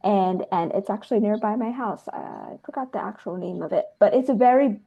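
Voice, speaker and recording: A woman's voice: mid-range voice, sing-song, a little fast, gravelly, slightly rough, highs cut off. A woman, speaking effusively and emphatically as a filmmaker in a casual interview. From New Jersey, United States. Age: 30s. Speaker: filmmaker and dancer being interviewed.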